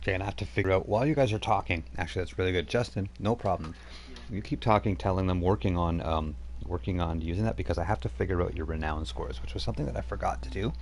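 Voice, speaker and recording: A man's voice: low-pitched, quick pace, darkish tone, a little hiss or hum. A middle-aged man acting as game master, loose and chatty, full of fillers and restarts. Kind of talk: running a tabletop game over a live stream.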